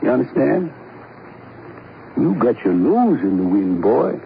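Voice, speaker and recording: An actor playing a gruff, talkative grill owner with folksy, rambling talk in a 1950s radio drama. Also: male voice, mid-range voice, sing-song, a little slow. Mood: wry, folksy. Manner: rambling, folksy, colloquial drawling phrasing. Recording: muffled, noisy 1950s radio recording.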